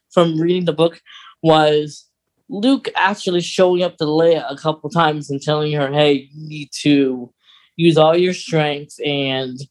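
Mid-pitched voice, podcast co-host, casual film chat, halting delivery, thinking aloud. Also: rough recording.

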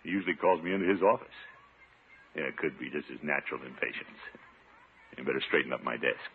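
An actor playing a pompous, excitable middle-aged water commissioner in a 1950s radio comedy, with a blustering manner. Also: male voice, extremely low pitch, fast, breathy edge. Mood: muttering. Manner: blustering, hammy, swooping delivery. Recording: muffled 1950s radio recording, background hiss.

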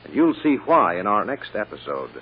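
An American male announcer narrates a vintage radio serial in a fairly low voice. His scripted delivery is very animated in intonation, heard through a dull old recording. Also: moderate pace, husky.

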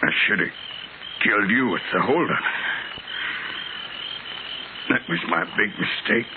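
An actor playing a vengeful, threatening stagecoach robber in a 1950s Western radio drama. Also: male voice, on the low side, expressive, measured pace. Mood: weak, regretful. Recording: lo-fi old radio, noisy background.

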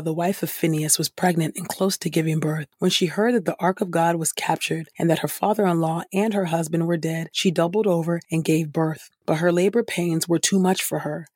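Husky woman reading scripture, very dry sound. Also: on the low side, darkish tone, no room sound. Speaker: podcast host and scripture reader.

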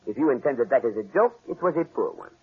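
A tinny vintage radio recording carries a shrewd French-accented detective. He speaks in a precise, courteous and theatrical way. The husky voice sits a little high and swings expressively through its lines. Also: expressive, fast. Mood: shrewd, politely confident. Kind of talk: 1940s radio drama acting.

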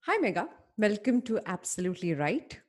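A woman's voice: mid-range voice, very animated, brisk. A woman with an Indian English accent, hosting a podcast interview and introducing her guest, laughing often. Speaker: podcast host.

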